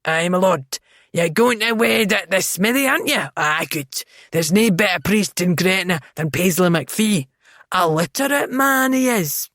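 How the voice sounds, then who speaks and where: A woman's voice: on the low side, lively intonation, unhurried, fairly bright tone, no room sound, clean studio sound. A woman voicing a jovial male Scottish innkeeper with a thick burr. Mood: eager.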